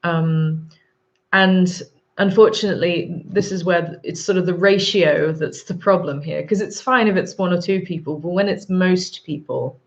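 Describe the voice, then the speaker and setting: A woman in her thirties with a sore throat and a husky voice, hosting a live stream, chatting casually and rambling with frequent ums. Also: on the low side, normal speed, muffled recording. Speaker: livestream host. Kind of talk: live chat reading viewer comments.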